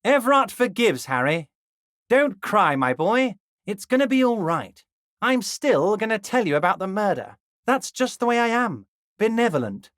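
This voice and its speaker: A man voicing a scheming, smarmy middle-aged union boss in a video game, ingratiating and slow with oily reassurances that carry a veiled threat. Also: high voice, fairly bright tone, dry recording, clean studio sound, full character voice. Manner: ingratiating, keeps repeating the listener's name. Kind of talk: recorded game dialogue lines.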